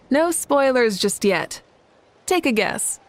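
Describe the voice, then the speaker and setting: A woman voicing a confident, composed leader with a playful side in a video game, speaking in a measured, assured and lightly teasing way. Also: high-pitched, expressive, a little hiss or hum, full character voice.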